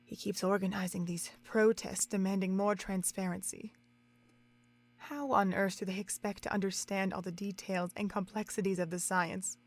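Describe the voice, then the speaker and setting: A woman's voice: mid-range voice, flat delivery, moderate pace, close to an everyday voice. A woman playing a self-assured, zealous senior scientist mare in an audio drama, lecturing with condescension. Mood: exasperated.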